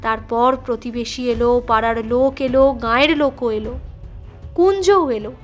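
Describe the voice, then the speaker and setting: A woman's voice: on the high side, moderate pace, dull audio. A woman narrating a literary story from a script as an audiobook reader. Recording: music behind the voice.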